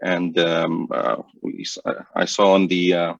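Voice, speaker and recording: A man's voice: low-pitched, level tone, a little slow, gravelly, dry recording. A man from Indonesia joining a panel remotely, introducing himself hesitantly with many fillers. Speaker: panel guest. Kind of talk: introductory remarks. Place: remote office. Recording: dull dry remote audio.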